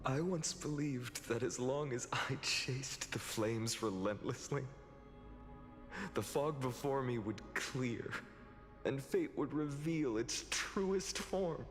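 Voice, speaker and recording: A man playing a weary but determined young heroic lord in a fantasy game, speaking in an earnest, dramatic, measured way. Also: mid-range voice, natural voice. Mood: sorrowful, reflective. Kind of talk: scripted fantasy game voice acting. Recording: long hall reverb over music.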